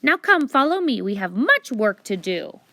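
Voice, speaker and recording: A woman's voice: a little high, very animated, normal speed, fairly bright tone, no echo. A woman voicing a bold, witty female librarian in a storybook, commanding and enthusiastic. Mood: bossy.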